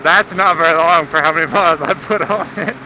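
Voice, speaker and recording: Young American man, high-pitched, over engine noise. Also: fairly quick. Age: young adult. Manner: laid-back, slangy, swearing. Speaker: motorcycle vlogger. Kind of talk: casual rambling vlog chat. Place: outdoors, riding a motorcycle. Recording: engine, road and traffic noise. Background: engine and vehicle noise.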